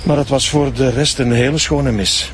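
Actor as a proper Flemish sexton, faint ambience. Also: male voice, mid-range voice, steady tone, moderate pace, background noise, natural voice. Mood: reminiscing. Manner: curt, reluctant, proper. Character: busy, reluctant male church sexton. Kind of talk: radio drama acting.

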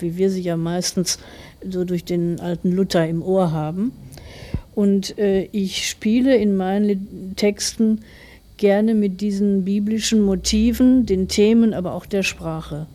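A woman's voice: medium pitch, fairly even intonation, a little slow, warm tone. A middle-aged woman giving a reflective interview as a writer, thoughtfully explaining her views in long, winding sentences.

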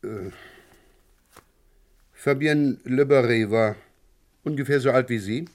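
A man playing an unhurried, exacting Paris chief inspector in a 1980s radio crime drama, questioning in a measured, formal way. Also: medium pitch, close to an everyday voice. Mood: polite probing.

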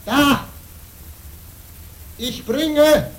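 An actor playing a stubborn, fervent wandering monk in a radio play, with a solemn, declamatory delivery. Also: male voice, very high-pitched, drawn-out words, full character voice. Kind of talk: German radio play acting. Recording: echoey, noisy old radio drama recording.

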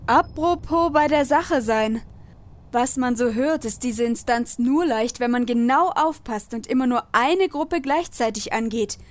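A woman's voice: on the high side, unhurried, lightly acted. A woman playing a prim, strict, easily disgusted female adventurer with a scolding, earnest manner in a comedy audio drama.

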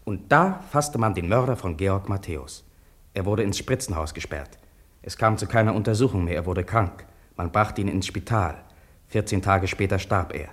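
A man's voice: deep voice, expressive, normal speed. An actor playing a farmer's son in a radio drama, soberly recounting his family's past in plain, earnest sentences. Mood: sober, recollecting. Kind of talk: radio drama first-person narration.

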